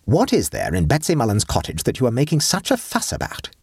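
A man's voice: mid-range voice, huge pitch swings, fairly quick, darkish tone, breathy edge, no echo, studio quality, voice pushed up, heavily acted. A man reading an audiobook and voicing a severe, pompous middle-aged aunt who lectures sternly.

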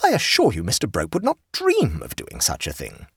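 A man's voice: fairly low pitch, wildly swooping pitch, normal speed, a bit bright, slightly rough, no echo, clean studio sound, pitched above the performer's own voice, heavily acted. A man reading an audiobook, voicing a fussy, old-fashioned society hostess who is flustered and eager to please. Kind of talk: audiobook character voice.

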